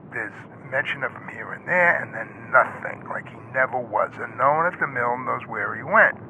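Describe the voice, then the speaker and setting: An actor playing a streetwise, wary investigator in an audio play, talking slangily and conspiratorially in a gravelly voice over a noisy background. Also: male voice, medium pitch, lightly acted. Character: streetwise, wary investigator friend named Jake giving warnings. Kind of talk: audio play acting, phone conversation.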